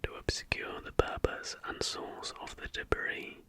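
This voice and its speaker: An ASMR host whispering throughout in a slow, unhurried way while presenting to listeners. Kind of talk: ASMR, softly whispered reading of facts with casual asides.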